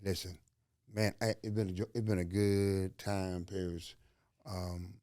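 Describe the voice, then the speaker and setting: A man's voice: deep voice, flat delivery, unhurried, dark tone, dry recording, studio quality. A man from Alabama with a Southern accent, hosting a podcast in a folksy, preachy way, full of slang and rhetorical questions. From Alabama, USA. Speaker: podcast host. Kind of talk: motivational podcast talk.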